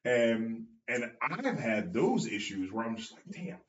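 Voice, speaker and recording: A man's voice: mid-range voice, big pitch swings, measured pace. A man hosting a casual chat, blunt and given to swearing, acting out what he and others say. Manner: blunt, swears, acts out quotes. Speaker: podcast host, jiu-jitsu instructor. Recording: roomy, slightly dull sound.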